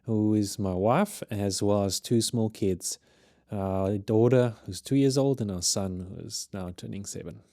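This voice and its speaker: A man's voice: on the low side, warm tone, dry recording. A man in his thirties with a South African accent, raised in Zimbabwe, speaking earnestly as a podcast guest in a studio. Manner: earnest, measured, with frequent restarts. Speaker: guest, ministry leader. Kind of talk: podcast interview.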